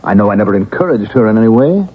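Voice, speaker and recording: A man's voice: fairly low pitch, very animated, very fast, breathy edge. An actor playing a troubled, insistent male patient in a vintage radio drama, earnest and pleading. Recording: dull vintage radio broadcast with music.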